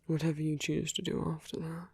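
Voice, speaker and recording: A man playing a soft-hearted villain wooing his heroic enemy in an intimate ASMR roleplay, speaking slowly and deliberately in a breathy hush that often slips into whispers. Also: a little high, monotone, no echo. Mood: tender, hesitant. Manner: slow, deliberate, hushed, often slipping into whispers. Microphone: close, dry microphone.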